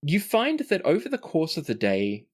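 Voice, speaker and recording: A man's voice: a little high, wildly swooping pitch, moderate pace. A man narrating a tabletop role-playing game as game master.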